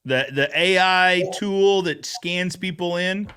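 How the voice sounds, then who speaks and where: A man hosting a casual recap chat, retelling events in an animated way and acting out bits of dialogue. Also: sits high, takes it slowly, clean tone. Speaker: show host.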